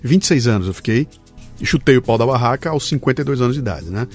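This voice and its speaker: A man's voice: mid-range voice, animated, fast, darkish tone, faint music behind. A man hosting an interview podcast, emphatic and prone to repeating words. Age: 50s. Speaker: podcast host. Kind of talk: conversational interview.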